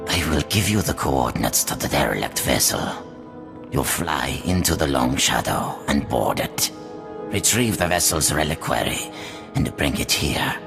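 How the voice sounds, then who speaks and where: An actor playing a dying, ruthless old crime lord in a video game, speaking slowly and gravely with a breathy, gravelly voice. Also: male voice, a bass voice, expressive, music in the background, exaggerated voice. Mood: grave, weary. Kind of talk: video game character acting.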